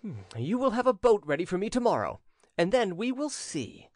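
A man's voice: a little high, sing-song, brisk, dry recording, clean studio sound, voice pushed up. A man voicing a haughty, menacing prince in an audiobook, with an imperious, ironic and commanding manner. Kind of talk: audiobook character acting.

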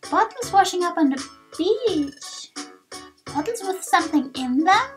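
Woman voicing an eager, high-pitched little creature. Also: lively intonation, unhurried. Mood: curious, keen. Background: game music.

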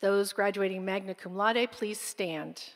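Woman announcing honors in a big hall. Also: mid-range voice. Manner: formal, deliberate announcing. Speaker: school administrator announcing honors. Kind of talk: ceremony announcements. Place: large concert hall stage. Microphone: podium microphone. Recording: long hall reverb, faint crowd.

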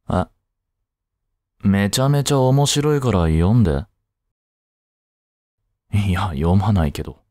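A man playing an aloof young boyfriend in an intimate voice drama, grumpy but soft-hearted, speaking haltingly close to the mic. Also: lowish voice, no echo. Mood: curt, dismissive. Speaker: amateur voice drama creator and actor.

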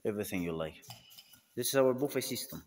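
A man's voice: fairly low pitch, animated, fast talker, big-room sound, noisy background. A man in his twenties with an Afghan accent, narrating a casual vlog as a tour guide in short, repetitive phrases. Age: 20s. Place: indoors in hotel halls, rooms and dining room. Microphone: phone at arm's length.

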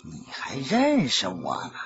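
A man's voice: medium pitch, huge pitch swings, slow, a bit bright, pitched below the performer's own voice, exaggerated voice. A man voicing a reckless, sneering gangster in an audiobook, taunting and goading. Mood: cold contempt. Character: disfigured, reckless, sneering male gangster with a gun. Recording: dull lo-fi audio, music behind.

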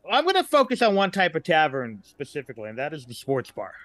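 A man's voice: a little high, huge pitch swings, fast, fairly bright tone, no room sound. A middle-aged man talking casually as a writer guest on a podcast.

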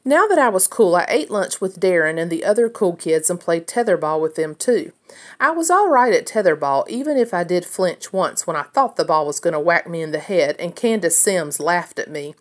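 Woman, slightly low voice, expressive read-aloud, children's chapter book narration. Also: normal speed. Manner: acts out dialogue as a child narrator's story.

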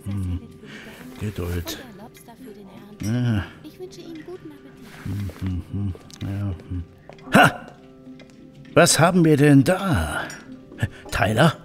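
An excitable, learned professor of old machines, acted by a man for an audio drama. He hums and mutters to himself, then breaks into exclamations. Faint music runs behind his voice. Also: lowish voice, extremely slow, long pauses. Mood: fascinated. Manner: hesitant humming and muttering, exclamatory. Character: excitable, learned male professor and steam technology expert. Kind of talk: German audio drama acting.

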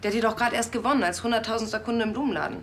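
A woman's voice: on the high side, racing, lightly acted. An actress playing a flustered, chatty female police assistant in a TV crime comedy. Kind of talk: naturalistic TV crime comedy acting. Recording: clear background noise with clicks.

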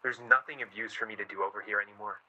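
Man playing a husky, sardonic newspaperman. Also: fairly low pitch, steady tone, quick pace, pushed and bright, dull audio. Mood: bitter, frustrated. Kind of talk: radio play acting.

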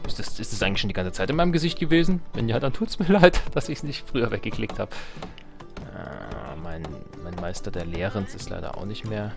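A man's voice: lowish voice, expressive, brisk, creaky. A man giving casual, jokey let's play commentary on a strategy game, muttering to himself and to the game's characters. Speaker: let's player, host. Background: game music and sounds.

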